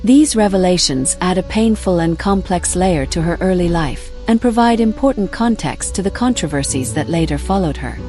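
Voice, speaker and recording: A woman narrates a scripted piece in a fairly low voice. Music plays clearly behind her. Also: lively intonation, moderate pace, few pauses, mellow.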